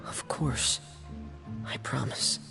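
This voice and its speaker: An actor playing a shy, gentle teenage boy who speaks softly and haltingly, in an anime video game. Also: over music, close to an everyday voice. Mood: solemn, hushed. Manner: soft-spoken, halting, reserved.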